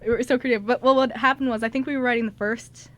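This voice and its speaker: A woman's voice: on the high side, fairly even intonation, fast, a bit bright. A woman hosting a radio show in casual, chatty, giggly conversation. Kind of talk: casual chat.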